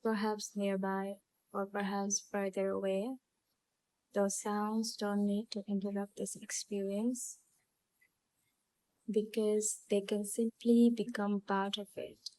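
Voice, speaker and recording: A woman leading a guided visualisation in a calm, soothing, hypnotic storytelling manner, leaving long pauses between phrases. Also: mid-range voice, no room sound, low-quality recording. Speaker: hypnotherapy channel host and guide.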